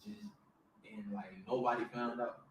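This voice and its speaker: A twenty-one-year-old man tells his stories in a laid-back but insistent way. He is heard from a distance in a strongly echoing room, with clear background noise under his voice. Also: medium pitch, steady tone, moderate pace. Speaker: guest. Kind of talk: casual storytelling.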